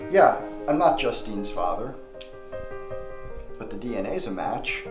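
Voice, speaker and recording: A man's voice: lowish voice, big pitch swings, a little slow. A man acting a middle-aged, wounded brother confronting a betrayal in a screen drama. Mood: bitter, restrained. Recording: roomy, with faint music underneath.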